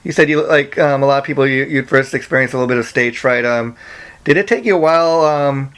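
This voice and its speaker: Guy doing a casual music interview on a phone call, smooth clear voice, kinda rambly. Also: mid-range voice, quick pace, a bit bright. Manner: rambling, repeats "you know" and "like".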